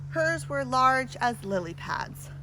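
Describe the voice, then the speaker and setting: A woman's voice: high voice, sing-song, slow, noisy background. A woman reading a children's picture book aloud with theatrical, dramatic emphasis. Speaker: storybook reader.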